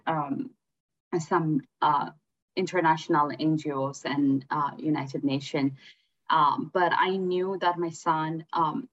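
A woman from Ethiopia speaks English, her voice sitting fairly low. She talks hesitantly, with many ums and soft laughs between thoughts. Her voice comes through dull, lo-fi call audio. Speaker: guest, empowerment coach. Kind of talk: interview.

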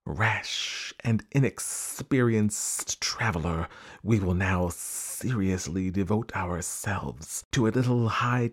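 A pompous storybook rock snake, speaks extremely slowly. Every grand, long-winded phrase is stretched out as solemn advice. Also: male voice, mid-range voice. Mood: solemn, urgent. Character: pompous bi-colored python rock snake, ponderous adviser.

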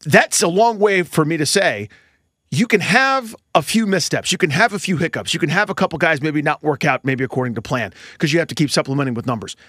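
A man's voice: fairly high pitch, a bit bright, dry recording, studio quality. A man co-hosting a sports podcast, chatting casually and emphatically about baseball in colloquial, hyperbolic language.

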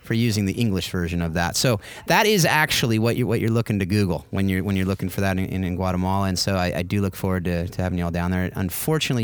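Low-pitched American man hosting a podcast. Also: no room sound. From United States. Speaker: podcast host. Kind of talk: conversational interview.

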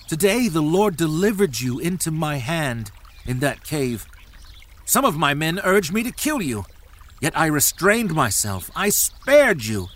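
An impassioned young warrior from a dramatized Bible story. His voice sits fairly high and moves slowly, with big swings of pitch. He speaks in earnest, formal phrases, loyal and pleading. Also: a bit bright, heavily acted. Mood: anguished pleading.

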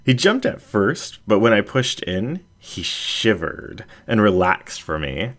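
A man voicing a playful adult female animal character in an audiobook, with an animated, confiding storytelling manner. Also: lowish voice, slow, full character voice. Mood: excited, teasing. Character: playful adult female anthropomorphic animal sharing personal anecdotes.

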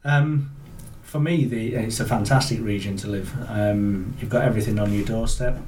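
A man with a Yorkshire accent, an industry association chief executive, answering interview questions in a measured, steady way with frequent ums. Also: fairly low pitch. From Yorkshire, England. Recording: roomy, distant mic with faint noise.

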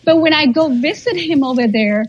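A woman's voice: high voice, moderate pace, dry recording, highs cut off. A woman in her forties whose first language is Spanish, a guest chatting casually in an interview, often repeating words as she thinks and laughing. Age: 40s.

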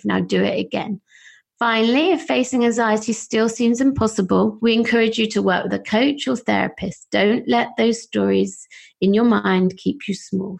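A woman's voice: medium pitch, gravelly. A woman hosting a podcast, giving upbeat coaching advice. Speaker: podcast host.

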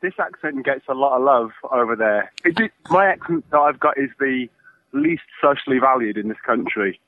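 Male caller, Birmingham accent, mid-pitched, phone-line audio. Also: expressive, moderate pace. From England. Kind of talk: casual phone chat.

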